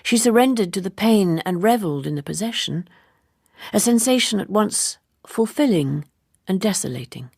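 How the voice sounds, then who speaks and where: A woman reads literary fiction in a low voice with a gravelly edge. Her phrasing is measured and deliberate, yet expressive. The recording is close and dry. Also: dry recording. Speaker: audiobook narrator. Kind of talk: literary audiobook narration.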